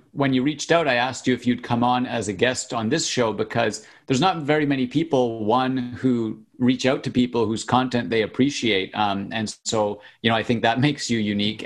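A man's voice: mid-range voice, steady tone, normal speed, hardly any pauses. A man hosting a podcast who talks in an earnest, appreciative way. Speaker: podcast host. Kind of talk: podcast host talk.

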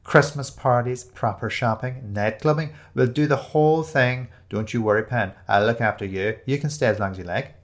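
A man's voice: mid-range voice, moderate pace, few pauses, close to an everyday voice. A man voicing a chatty, bossy young woman who gushes in breezy, emphatic run-on chatter for an audio storybook. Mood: gleeful, insistent. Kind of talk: audio storybook character dialogue.

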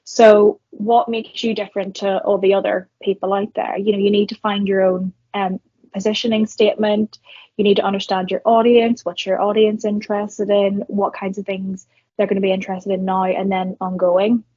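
A woman's voice: mid-range voice, little pitch movement, normal speed, dark tone, dry recording, muffled recording. A young woman with a Northern Irish accent, a podcast guest talking reflectively about her career, with plenty of fillers and restarts. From Northern Ireland. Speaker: guest, marketer and entrepreneur. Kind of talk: conversational podcast interview.

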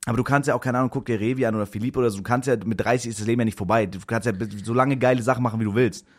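A young man talks fast, joking crudely and swearing in easy banter before drifting into rambling reflection. His voice sits at a middling pitch. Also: fairly even intonation. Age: 20s. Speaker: podcast co-host. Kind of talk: casual banter between friends.

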